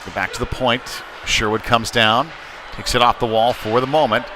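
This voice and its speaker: A man's voice: mid-range voice, fairly even intonation, moderate pace. Man calling hockey over rink noise. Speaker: ice hockey play-by-play commentator. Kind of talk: live sports commentary. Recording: clear background noise, live rink ambience. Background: ambient sports venue noise.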